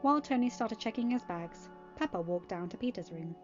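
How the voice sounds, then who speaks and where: A woman's voice: medium pitch, animated, fairly quick, lo-fi sound. A woman reading scripted story narration as an audiobook narrator. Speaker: podfic narrator. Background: music.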